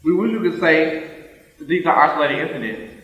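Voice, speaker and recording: A man performing a somber student in a rehearsed readers theater recitation, with deliberate delivery. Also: fairly high pitch, animated. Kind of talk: rehearsed dramatic group recitation. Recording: echoey hall, loud crowd, distant mic.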